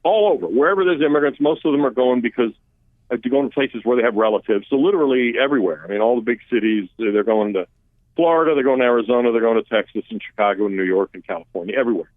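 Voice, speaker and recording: A man's voice: medium pitch, lively intonation, rapid-fire, no echo. A man, a policy expert guest, talking through immigration issues in a conversational, emphatic way with frequent restarts. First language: Armenian. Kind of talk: political talk radio interview. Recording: phone-line guest audio, dry.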